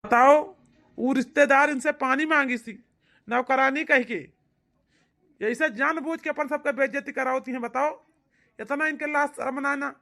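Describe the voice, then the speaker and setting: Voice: sits high, steady tone, moderate pace, sharp tone. A performer voicing a disgruntled, complaining husband in an amateur cartoon, with an Awadhi accent and a grumbling, aggrieved manner. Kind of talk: amateur cartoon comedy-drama acting.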